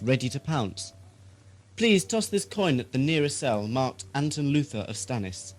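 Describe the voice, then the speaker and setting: A man playing a pompous, obsequious asylum keeper in an audio drama, florid and theatrical in his speech. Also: a little high. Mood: showman-like. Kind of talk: radio drama acting.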